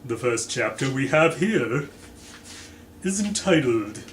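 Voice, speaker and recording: A man giving a dramatic, deliberate reading of a story as its theatrical narrator. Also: mid-range voice, sing-song. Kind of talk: dramatic audiobook-style narration. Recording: echoey room, faint background noise.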